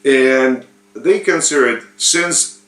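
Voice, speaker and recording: Lithuanian guy preaching real slow, lots of ums, roomy echo. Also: mid-range voice, fairly bright tone. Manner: slow, halting, with frequent pauses and fillers. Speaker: spiritual teacher. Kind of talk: unscripted sermon.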